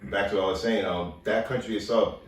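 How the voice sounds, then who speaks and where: A man from Liberia co-hosting a podcast in casual chat, getting animated when he argues a point. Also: on the low side, fast talker. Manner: animated, rising sharply when worked up. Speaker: podcast co-host. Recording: roomy echo, far from mic.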